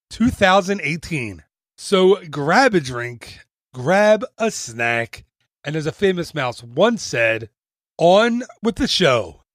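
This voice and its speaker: Brisk, bright-voiced male podcast host. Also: high voice, no echo, studio quality. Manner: chatty, self-deprecating jokes. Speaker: podcast host and travel agent. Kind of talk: upbeat podcast intro and promo read. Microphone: studio mic.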